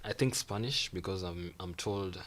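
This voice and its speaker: Kenyan student guy, deep voice, easygoing chat, lots of little quoted reactions. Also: normal speed. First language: Swahili. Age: young adult. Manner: relaxed, repeats phrases, acts out quoted reactions. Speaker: guest student. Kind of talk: casual conversational interview. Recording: faint background noise.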